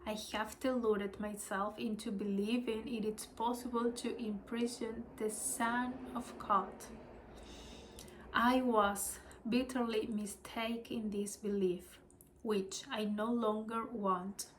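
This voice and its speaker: Woman, Spanish accent, unhurried careful reading, faint background hiss. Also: fairly high pitch, fairly even intonation, poor audio. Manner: hesitant, apologetic, with small laughs and restarts. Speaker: channel host reading spiritual lessons. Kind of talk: slow reading of lessons with personal reflection.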